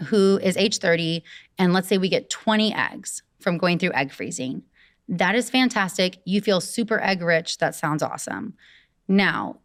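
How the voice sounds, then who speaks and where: A woman fertility doctor explaining clearly in an interview, walking through the numbers step by step. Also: medium pitch, dry recording, clean studio sound.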